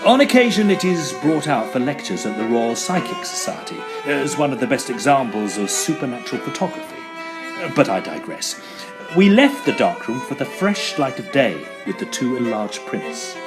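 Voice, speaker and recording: An actor playing an earnest, excitable Edwardian gentleman ghost hunter who tells his tale in formal, theatrical old-fashioned diction. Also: medium pitch, moderate pace, close to an everyday voice. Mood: solemn narration. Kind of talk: dramatised first-person period storytelling. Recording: violin music behind the voice.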